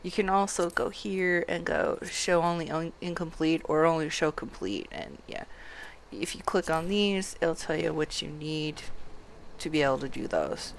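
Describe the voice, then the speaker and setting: A woman game streamer giving a casual live walkthrough, low-key and half murmuring to herself as she plays. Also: expressive, moderate pace, gravelly, slight background noise.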